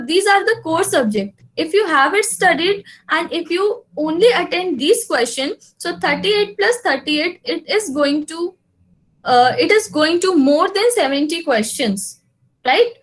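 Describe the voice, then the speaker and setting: A woman's voice: high-pitched, fairly bright tone. A woman with an Indian English accent teaching a live online class over a video call, reassuring students and switching between English and Hindi. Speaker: exam prep teacher.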